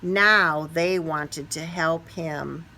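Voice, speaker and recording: Woman, expressive picture-book reader for young children. Unhurried, bright delivery that leans on key words. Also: deep voice. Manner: expressive, stretches key words, asks listeners questions. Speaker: storybook reader. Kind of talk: animated children's picture book read-aloud.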